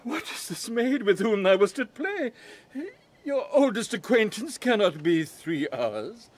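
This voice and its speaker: Man acting slow, high-voiced grieving king. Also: big pitch swings, heavily acted. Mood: awed, solemn. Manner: slow, emotional, theatrical. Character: grieving King of Naples, solemn bereaved father. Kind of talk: Shakespearean audio drama acting.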